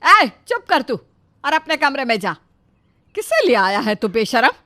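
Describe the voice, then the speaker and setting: Fussy, status-anxious mother-in-law for a cartoon story, played by a woman; raised pitch, dramatic complaining, dry recording. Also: very animated, measured pace, sharp tone, dry recording, exaggerated voice. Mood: indignant, lamenting. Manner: scolding, lamenting, dramatic.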